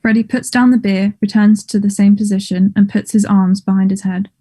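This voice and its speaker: A woman's voice: medium pitch, flat delivery, fairly quick, mellow. A woman reading stage directions as scripted narration for a play.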